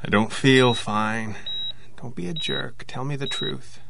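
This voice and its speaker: An actor playing an anxious, obsessive loner inventor in an audio drama, speaking in a halting, self-doubting, agitated way. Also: male voice, mid-range voice, vocal fry, background noise. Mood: weak, urgent. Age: 50s.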